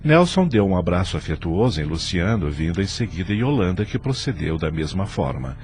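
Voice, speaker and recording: A man narrating an audio drama from a script in an expressive, literary storytelling manner. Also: low voice, moderate pace.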